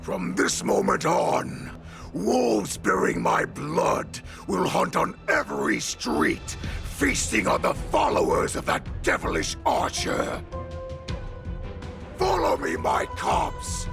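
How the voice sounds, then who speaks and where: A man's voice: sits high, huge pitch swings, slow pace, vocal fry, full character voice. An actor playing a menacing, bloodthirsty male wolf warlord who snarls threats and chants a battle creed in a game cutscene. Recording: game audio with music behind.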